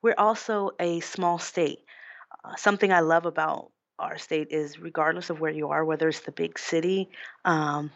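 A woman with an American accent and a notably low voice gives an interview as a candidate. She explains her points earnestly and with emphasis. The sound is slightly dull and roomy. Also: fairly bright tone. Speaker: political candidate being interviewed. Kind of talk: conversational political interview.

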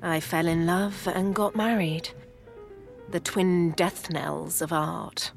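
An actress playing a grieving, bitter wife and lapsed writer in a 1930s noir audio drama, articulate and wry. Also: female voice, lowish voice, fairly even intonation, slow pace, vocal fry, soft background music. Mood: wry, wistful. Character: grieving, bitter English writer wife in 1937, sharp-tongued. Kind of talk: scripted gothic noir audio drama acting.

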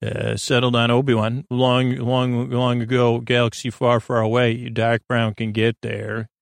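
A man hosting a bedtime podcast in a casual, meandering monologue, stammering and halting as he keeps drifting off topic. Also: mid-range voice, level tone, gravelly, smooth, no echo, studio quality. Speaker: podcast host.

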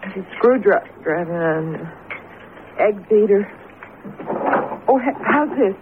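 A woman's voice: mid-range voice, lively intonation, slow. An actress playing an anxious, devoted woman with a hesitant, emotive delivery in a 1970s radio drama. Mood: worried. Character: anxious, devoted woman caught in a supernatural mystery. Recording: very noisy, tinny 1970s radio broadcast.

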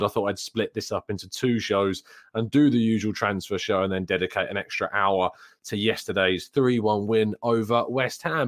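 British man hosting a casual podcast. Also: medium pitch. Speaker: host.

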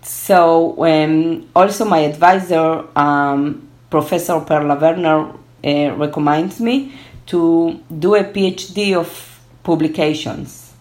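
A woman in her thirties, a Spanish speaker, talking reflectively and haltingly as a guest in a conversational interview. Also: sits low, steady tone, darkish tone. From born in Argentina, moved to Israel at twelve. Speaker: interview guest, gerontology researcher.

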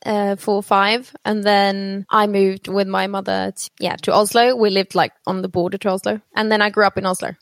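A woman's voice: medium pitch, level tone, normal speed, vocal fry, hardly any pauses, dry recording. A Norwegian-born woman speaks English with a British-sounding accent, giving chatty, reassuring advice as a wedding planner. Her voice sits in a middle range and the recording is close and dry, with no room around it. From Norway. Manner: warm, chatty, laughs often, reassuring. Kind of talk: podcast interview.

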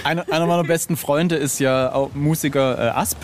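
A middle-aged man talking casually as an interview guest, enthusiastic like a devoted fan and often pausing mid-thought. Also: fairly high pitch, fairly even intonation, slight background noise. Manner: enthusiastic fan talk, halting. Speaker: interview guest, artist and author. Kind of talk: casual chat.

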